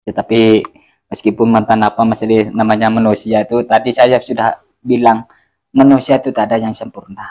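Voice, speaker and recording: A man co-hosting a casual religious chat, agreeable and quick to affirm. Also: on the low side, flat delivery, darkish tone. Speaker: co-host.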